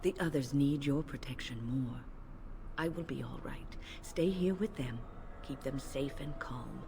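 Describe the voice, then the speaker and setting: An actress playing a grave, protective older female mage, speaking in a formal, measured way in a video game. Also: rumbling low, creaky, background noise, full character voice. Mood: resolute.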